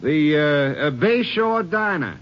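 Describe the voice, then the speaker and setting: Folksy, hesitant landlord, swooping pitch. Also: male voice, sing-song, slow pace. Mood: folksy recollection. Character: folksy, talkative male rooming-house landlord. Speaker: radio drama actor. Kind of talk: 1950s radio crime drama acting. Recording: muffled old radio recording.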